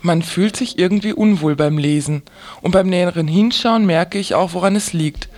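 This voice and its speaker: A woman's voice: on the low side, normal speed, darkish tone. A woman reading a scripted, critical commentary for a radio programme.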